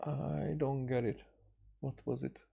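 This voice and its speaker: A man streaming games, chatting casually in a hesitant, halting way as he thinks aloud. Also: medium pitch, flat delivery, vocal fry, mellow, slightly rough, muffled recording. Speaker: game streamer. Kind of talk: casual livestream chat. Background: music.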